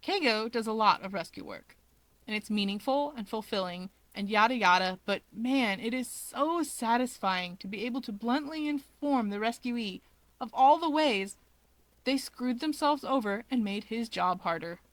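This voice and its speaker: A woman reading fan fiction aloud as an expressive storyteller. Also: a little high, normal speed, dry recording, poor audio. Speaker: narrator.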